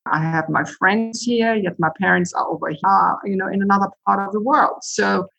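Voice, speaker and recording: Measured, thoughtful middle-aged woman. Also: mid-range voice, steady tone, gravelly, pushed and bright, lo-fi sound. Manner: measured, thoughtful, with frequent "sort of" and "uh". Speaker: guest, university president. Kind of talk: reflective interview talk.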